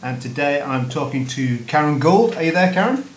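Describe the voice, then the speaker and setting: A man's voice: on the high side, expressive, normal speed. A man hosting a casual interview, chatty and full of fillers and repeated words. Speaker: podcast host. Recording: echoey room, faint noise, off-mic.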